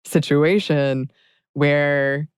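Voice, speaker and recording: A woman podcast co-host talking thoughtfully in a casual conversation, speaking in quick bursts with hesitant fillers. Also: deep voice, fairly even intonation, clean tone, dry recording, clean studio sound.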